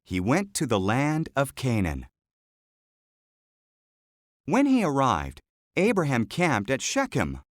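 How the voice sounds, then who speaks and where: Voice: mid-range voice, huge pitch swings, slow pace, long pauses, no echo, clean studio sound. A narrator reading a scripted children's Bible story.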